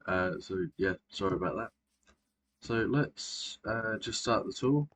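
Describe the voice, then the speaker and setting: A man's voice: on the low side, animated, a little slow, a bit bright. A man, narrating a game tour casually, rambling and often correcting himself. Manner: rambling, self-correcting, many fillers. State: sore throat, clearing throat and coughing. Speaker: Minecraft video creator.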